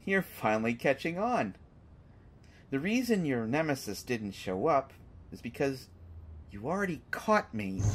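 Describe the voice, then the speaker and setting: A man playing a flirtatious, teasing superhero who is secretly smitten, in a halting, playful conversational way for a roleplay audio drama. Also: mid-range voice, a little hiss or hum. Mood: smug, teasing. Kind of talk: roleplay audio drama, acted monologue to listener.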